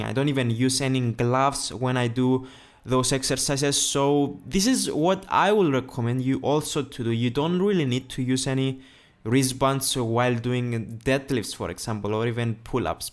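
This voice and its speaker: A young man speaks English with a Greek accent, talking earnestly to his viewers from a large indoor gym. His voice sits at an ordinary middle pitch, and his phrasing is simple and sometimes restarts. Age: young adult. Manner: earnest, plain wording with restarts. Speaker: fitness youtuber. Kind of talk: casual vlog talk to camera.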